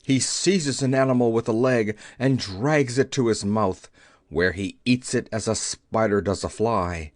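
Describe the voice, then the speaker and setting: A man's voice: lowish voice, unhurried, darkish tone, no echo, clean studio sound, close to an everyday voice. Man as grave, deferential storybook tiger. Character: fearful, respectful male tiger, spokesman of forest beasts.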